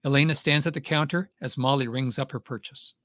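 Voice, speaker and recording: A man's voice: mid-range voice, fairly quick, fairly bright tone, no echo. Man reading stage directions, dry sound. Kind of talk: scripted narration.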